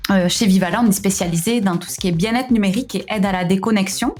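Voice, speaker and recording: A young woman with a southern French accent, a guest answering interview questions in a relaxed way, with frequent fillers and restarts. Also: medium pitch, fast talker, soft background music. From southern France. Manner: hesitant, many fillers and restarts, laughs often. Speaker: podcast guest, founder of a digital wellbeing company. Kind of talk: conversational interview.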